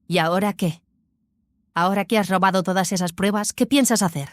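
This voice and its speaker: Casting: actress as concerned, questioning female accomplice, mid-pitched, close dry sound, sci-fi audio drama. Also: fairly quick, no room sound, lightly acted. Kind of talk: science fiction audio drama dialogue.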